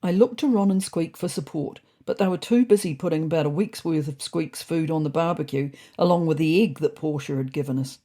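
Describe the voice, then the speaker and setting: A woman narrating a storybook diary as a fussy, indignant male house cat, in a wry, prim, deadpan manner. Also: sits low, fairly quick, few pauses. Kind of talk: first-person storybook narration.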